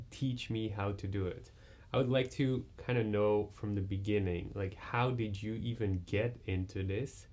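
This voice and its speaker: Low-pitched male host, relaxed, loose rambling questions. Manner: relaxed, filler-heavy questioning. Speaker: podcast host. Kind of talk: interview questions.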